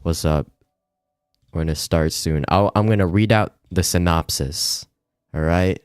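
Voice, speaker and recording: A man with a low, smooth voice streams a game in a casual, slangy, somewhat hesitant manner. The sound is close and dry, with music playing underneath. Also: a little slow, dry recording. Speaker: livestreamer. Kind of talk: casual livestream chat, reading game text aloud.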